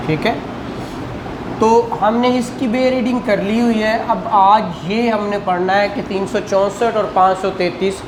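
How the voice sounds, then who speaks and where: A Pakistani man teaches law at a slow, deliberate pace, in a didactic style that checks in with questions and repeats key phrases. His voice echoes in the classroom, with clear noise behind it. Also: high-pitched. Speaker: law lecturer. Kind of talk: classroom lecture. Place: indoor classroom.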